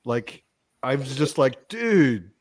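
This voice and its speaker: A man's voice: mid-range voice, very animated, slow, dry recording. Man chatting casually, dry close sound. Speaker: book discussion panelist. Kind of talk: casual chat.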